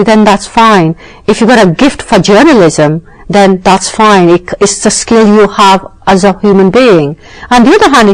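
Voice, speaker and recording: A woman's voice: medium pitch, darkish tone, poor audio. A British woman, a novelist and scriptwriter, answering interview questions in a thoughtful, emphatic way with frequent self-corrections. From Britain.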